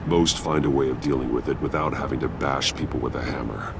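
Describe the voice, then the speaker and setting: Game role: stern masked crimefighter, rock-bottom gravel voice, clipped lines, clear city rumble behind. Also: male voice, brisk. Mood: stern, cold. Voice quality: deep gravelly growl. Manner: terse, clipped. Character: brooding, stern masked vigilante detective. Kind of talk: video game voice acting.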